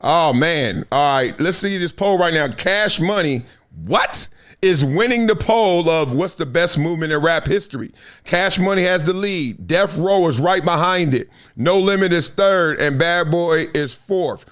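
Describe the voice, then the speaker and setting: A middle-aged man hosting a casual livestream, reading viewer comments and news aloud in a playful, animated way. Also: fairly high pitch, measured pace. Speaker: show host. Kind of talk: casual live-stream commentary.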